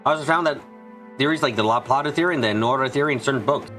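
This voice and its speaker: Male fan-dub narrator for a visual novel: brisk pace, forceful bright tone, expressive read. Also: mid-range voice, faint music behind. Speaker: fan dubber reading a visual novel aloud. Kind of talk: scripted narration with character dialogue, fan dub.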